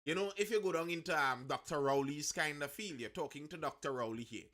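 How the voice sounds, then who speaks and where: Bright-voiced Trinidadian man talking news. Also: a little high, brisk. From Trinidad and Tobago. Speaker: host of a live news discussion stream. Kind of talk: conversational news commentary to live viewers.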